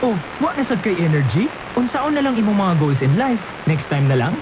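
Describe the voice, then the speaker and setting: A woman's voice: mid-range voice, very animated, fairly quick, dull audio, background noise. A woman with a Cebuano Filipino accent reading an upbeat scripted radio commercial. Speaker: commercial voice-over. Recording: AM radio with loud background music. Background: loud music.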